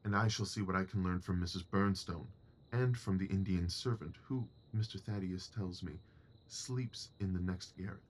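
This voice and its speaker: A man playing a shrewd, commanding Victorian gentleman detective in an audio drama, precise, assured and dryly superior. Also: low voice, moderate pace. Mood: brisk, instructive.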